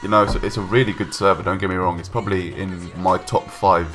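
Casual low-voiced young guy, blocked-up nose, lots of ums, soft music underneath. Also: fairly even intonation, quick pace. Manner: rambling, hesitant, many ums and restarts. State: stuffy nose from a cold. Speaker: gaming YouTuber. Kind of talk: casual voice-over commentary. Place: home room, recorded separately from gameplay.

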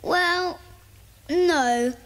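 Slow, high-voiced dreamy British schoolboy. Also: female voice, a bit bright, exaggerated voice. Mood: bashful. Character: shy, dreamy schoolboy poet.

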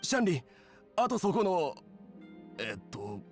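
Nervy young male chef, swooping pitch. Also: huge pitch swings, unhurried, soft background music, heavily acted. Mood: resolving. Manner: rough casual speech, hesitant. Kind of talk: Japanese anime game voice acting.

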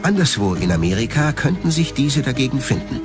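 Guy narrating a documentary, lively intonation, music under it. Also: medium pitch, a little fast. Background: background music.

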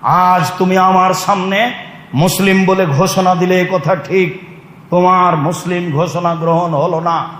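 High-pitched Bangladeshi male preacher, echoing hall. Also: fairly even intonation, measured pace, a bit bright. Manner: emphatic, declamatory, with Arabic recitation. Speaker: Islamic preacher. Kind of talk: fiery sermon to a congregation. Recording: echoey hall with long reverb.

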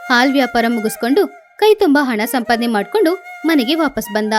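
Woman narrating a kids story, high animated voice, soft music underneath. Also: moderate pace. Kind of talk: scripted children's story narration.

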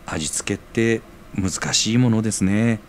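An actor playing a modest, earnest young working man in an audio drama, speaking in a gentle, boyish manner. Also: fairly low pitch, measured pace, a little hiss or hum, natural voice. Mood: wistful, earnest. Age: young adult.

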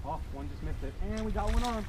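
A man's voice: sits high, animated, quick pace. A man in his thirties, a fishing vlogger, chatting casually and playfully from a small boat on open water, counting his catches. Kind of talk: casual chat. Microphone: action camera on the boat. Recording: wind and water noise outdoors.

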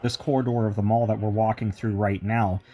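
A man's voice: on the low side, level tone, brisk, warm tone. A man giving an enthusiastic walking tour of a mall, mixing its history with chatty asides. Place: indoor shopping mall.

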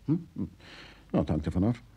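An evasive husband in a radio play. The voice is extremely mellow and breathy-rough, yet moves a lot in pitch. He sounds closed off within a stale marriage. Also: very animated, moderate pace, full character voice. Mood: dry. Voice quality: husky, muted. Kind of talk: Dutch radio drama acting.

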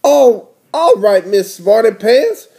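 A man's voice: high-pitched, expressive, slow, gravelly, warm tone, voice pushed up, heavily acted. A man voicing a challenging, teasing schoolboy big brother from a children's picture book.

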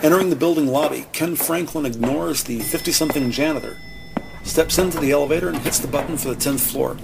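Gravelly male audio drama narrator, noisy background. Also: a little high, fast talker. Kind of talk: scripted present-tense scene narration. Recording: noisy, sound effects behind voice.

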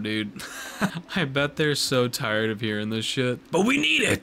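A young man with a cold, a little raspy and stuffed up, chatting excitedly and swearing casually as he talks over gameplay. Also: medium pitch. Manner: excitable, swears casually, says "dude" often. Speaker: gaming video host.

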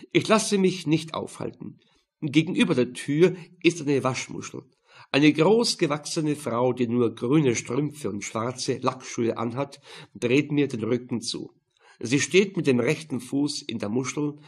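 Husky Viennese man narrating a crime audiobook. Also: mid-range voice. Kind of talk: scripted first-person crime narration.

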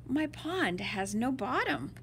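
Storybook boy explorer, played by a woman reader: excited, adventurous, very animated, faint background noise. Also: high voice, slow, voice pushed up, full character voice. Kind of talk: children's storybook read-aloud.

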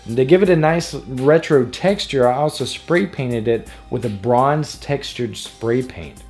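A man's voice: mid-range voice, measured pace, a little mellow. A man hosting a tutorial, reading scripted narration in a casual, explanatory way. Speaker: tutorial host. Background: background music.

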